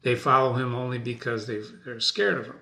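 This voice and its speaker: A man's voice: mid-range voice, steady tone, a little fast, creaky, pushed and bright. A man hosting a dramatic reading, explaining it conversationally and checking in with rhetorical questions. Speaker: host and reader.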